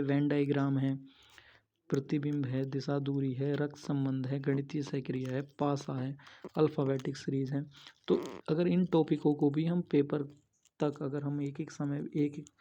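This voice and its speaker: A man's voice: fairly high pitch, dark tone. A man giving instructional exam advice as a coaching teacher, going methodically point by point. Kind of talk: instructional study-strategy talk. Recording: dull lo-fi sound.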